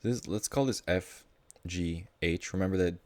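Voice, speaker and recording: A man's voice: deep voice, moderate pace, no echo. A man tutoring math live in a casual, informal way, thinking aloud as he explains.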